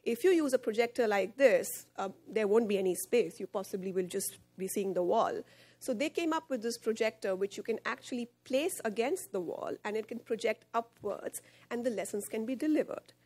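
A woman's voice: on the high side, lively intonation, normal speed, darkish tone. A woman in her thirties giving a conference panel presentation at a podium, explaining a project with frequent fillers. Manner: explanatory, gestures while speaking, frequent fillers. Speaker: head of partnerships at a charity. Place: conference hall stage at a podium. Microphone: podium microphone.

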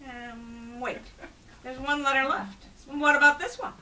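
A woman's voice: sits high, measured pace, sharp tone, recorded from a distance, pitched above the performer's own voice, rough recording. A woman voicing a greedy, grumpy male goblin in a storybook, with a blunt, grumbling manner. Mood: puzzled.

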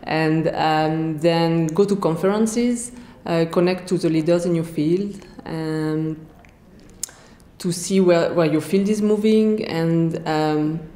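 A woman's voice: sits low, slow pace, warm tone, echoey room. A woman in her thirties from France with a French accent, answering interview questions with many fillers and restarts. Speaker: interviewee, scientist. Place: bright office room indoors.

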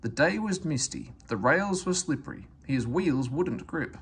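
A man's voice: a little high, expressive, a little slow, breathy edge. A man reading a children's story aloud as scripted narration. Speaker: storybook reader.

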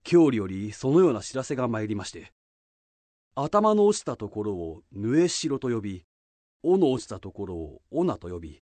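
Humble samurai retainer for radio drama, formal and deferential, medium pitch, very expressive. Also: male voice, moderate pace, no room sound. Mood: earnest reporting. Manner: formal, respectful archaic speech.

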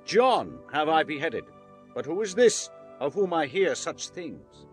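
A perplexed male ruler in a Bible audio drama. His higher-set voice swings expressively as he broods, with music playing softly behind him. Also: sing-song, unhurried. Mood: troubled, wondering.

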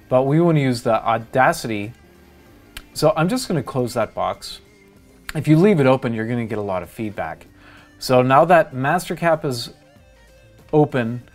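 A middle-aged man hosting a how-to demonstration, explaining each step in a plain, methodical way. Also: mid-range voice. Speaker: tutorial host.

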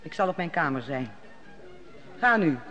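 A woman's voice: deep voice, sing-song, normal speed, a bit bright, big-room sound, soft background music. An actress playing a proud, commanding queen in an old radio play. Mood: regal. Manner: commanding, formal. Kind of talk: 1950s Dutch radio drama acting.

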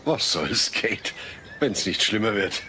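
A man's voice: fairly low pitch, lively intonation, takes it slowly, background noise. An actor playing an exasperated middle-aged family father in a comedy audio play.

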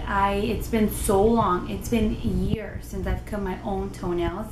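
A young woman with a naturally loud voice, chatting casually to the camera in her living room with lively gestures. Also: mid-range voice. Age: young adult. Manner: animated, gestures a lot with her hands. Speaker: lifestyle vlogger. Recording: roomy with noticeable background noise.

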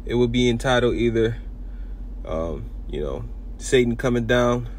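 A man giving an informal sermon video, talking in halting bursts with many repeats and restarts. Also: medium pitch, expressive, slight background noise. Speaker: preacher.

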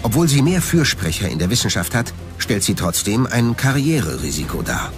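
A man's voice: mid-range voice, sing-song, moderate pace, music in the background. A man narrating a documentary from a script.

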